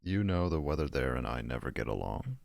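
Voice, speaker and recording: Very deep, gravelly gentle poet. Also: male voice, big pitch swings, a little fast, heavily acted. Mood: fond, hesitant. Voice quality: gravelly, husky. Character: gentle lovestruck poet, younger brother, patient and evasive. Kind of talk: audio drama acting.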